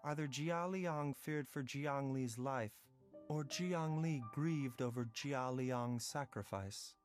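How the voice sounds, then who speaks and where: A man playing a gentle, philosophical young doctor in a video game, with measured, articulate and reflective delivery. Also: medium pitch, natural voice. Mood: solemn, reflective. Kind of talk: scripted video game voice acting. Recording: music playing behind the voice.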